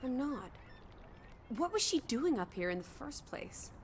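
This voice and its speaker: A bold young woman in a story game. Her voice is fairly high, her manner teasing, blunt and morbidly curious, with playful old-fashioned turns. There is some background noise. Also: very animated, a little slow. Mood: insistent, sarcastic. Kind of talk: video game voice acting.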